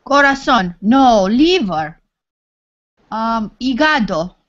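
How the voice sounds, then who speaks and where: A woman student answers quiz questions in an online Spanish class, hesitating and filling the gaps. She talks very slowly, with a slightly high pitch. The call audio sounds dull and lo-fi. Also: animated, fairly bright tone. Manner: hesitant, many fillers.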